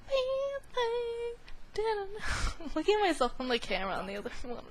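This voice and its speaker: A woman's voice: very high-pitched, huge pitch swings, fairly quick, crowd noise. A young woman chatting casually over a game playthrough, giggly, playful and rambling. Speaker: gamer doing a video game playthrough. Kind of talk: casual chat over gameplay.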